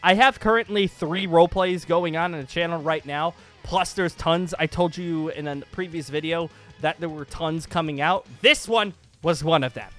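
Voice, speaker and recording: Bright, high-pitched excitable man with music behind. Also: normal speed. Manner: excitable, rambling, emphatic. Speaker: YouTube channel host. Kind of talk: casual gaming channel update. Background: background music.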